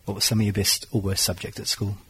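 Quick, upbeat interviewer man. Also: fairly low pitch, flat delivery, a bit bright, slight background noise, rough recording. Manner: upbeat, friendly questioning. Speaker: interviewer host. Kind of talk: business interview.

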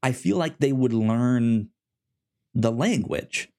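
Animated, rambling male podcast host. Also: mid-range voice, takes it slowly. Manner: rambling, many restarts and stammers, animated. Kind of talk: casual chat.

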